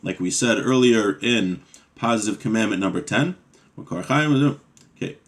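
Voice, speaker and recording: A man's voice: on the low side, expressive, unhurried. A man teaching a class on a religious text, reading passages aloud and then explaining them plainly, with some ums and restarts.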